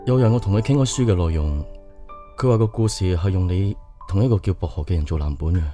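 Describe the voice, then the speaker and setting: An actor playing an earnest, polite young suitor in a radio drama, with a Hong Kong Cantonese accent. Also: male voice, low voice, quick pace, warm tone, faint music behind, natural voice. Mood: curious, reflective. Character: earnest, polite young man shyly courting a woman.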